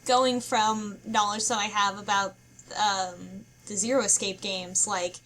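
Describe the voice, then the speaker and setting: A woman with an average-pitched voice talks informally on a podcast panel. She feels her way through her thoughts, hesitating over wording. There is faint noise behind her. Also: steady tone, a little slow, a bit bright, poor audio. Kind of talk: casual book club discussion.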